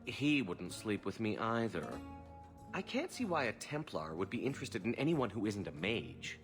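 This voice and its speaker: A smooth, flirtatious courtesan with a wistful streak. His low voice swoops lively up and down. Loud guitar music sits under the scene. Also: moderate pace. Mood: flirty. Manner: smooth, flirtatious, self-mocking. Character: charming male courtesan, playful and wistful. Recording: game cutscene with guitar music.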